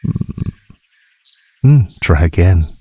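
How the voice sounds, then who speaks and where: A man voicing a teasing, menacing male naga in an ASMR roleplay, speaking in a mocking, condescending drawl with pauses. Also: low-pitched, animated, warm tone, breathy edge, no echo, exaggerated voice. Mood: bored, taunting. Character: teasing, menacing male naga predator toying with captive prey.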